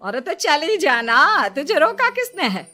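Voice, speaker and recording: A woman's voice: high-pitched, very animated, unhurried, sharp tone, soft background music, heavily acted. A woman voicing a quarrelsome, dramatic older mother in a cartoon, scolding and self-pitying. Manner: scolding, melodramatic. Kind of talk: Hindi cartoon dialogue.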